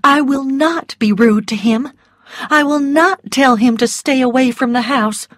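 A woman voicing a gentle but firm young woman who speaks earnestly, with a trembling resolve, in a storybook reading. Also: high-pitched, unhurried, no room sound, studio quality, pitched above the performer's own voice.

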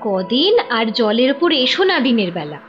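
A woman's voice: fairly high pitch, sing-song, moderate pace, muffled recording. A woman voicing an elderly, motherly cartoon heron who cautions in a worried, insistent way. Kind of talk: children's cartoon dubbing. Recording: music behind the voice.

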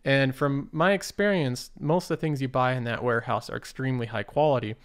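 A man's voice: mid-range voice, fairly quick, clean tone. A young man hosting an investing show, explaining a company in a casual, upbeat, step-by-step way over slides. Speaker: investing channel host.

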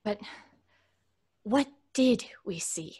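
A woman's voice: mid-range voice, animated, drawn-out words, mellow, breathy edge, exaggerated voice. A woman playing an earnest, fearful young woman in an old-time radio drama, speaking with dramatic insistence. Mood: grave, haunted.

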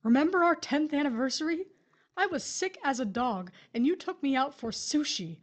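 Woman playing a high-voiced embittered widow. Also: lively intonation, normal speed. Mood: exasperated. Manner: confrontational, exasperated. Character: grieving, embittered widow, stubborn and torn.

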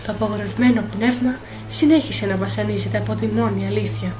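A woman narrator reading a dramatic, scripted fairy tale for an animated film. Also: medium pitch. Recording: voice over clear film music.

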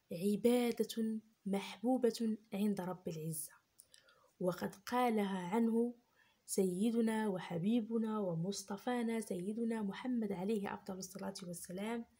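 Breathy woman telling children a story. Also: mid-range voice. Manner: gentle, explains and repeats for children. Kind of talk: unscripted storytelling for kids.

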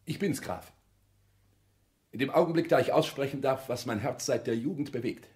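An actor in a 1960s German radio play, playing a calculating social climber with formal, measured, earnest declamation. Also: male voice, medium pitch, darkish tone, close to an everyday voice. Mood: fervent, solemn. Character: calculating male social climber, fawningly solemn and ambitious.

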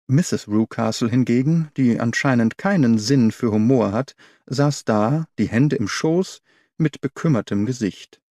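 A man reads a literary story as a narrator, in measured, clearly articulated phrases. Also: medium pitch, dark tone, no echo, studio quality. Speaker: audiobook narrator. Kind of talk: scripted literary narration, audiobook reading.

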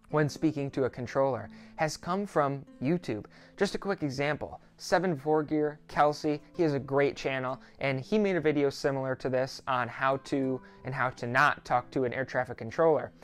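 A sixteen-year-old young man talking to camera as a host, explaining things in a reassuring, conversational way. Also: mid-range voice. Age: 16, teenager. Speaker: student pilot YouTuber, host. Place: small quiet home office, indoors.

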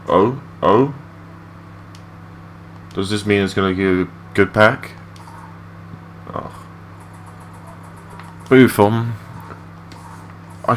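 A young man with a low voice giving rambling, matey, sweary gameplay commentary in a casual unscripted way. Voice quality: low-pitched voice. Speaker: gaming video creator. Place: his room at home. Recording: clearly noisy home mic recording.

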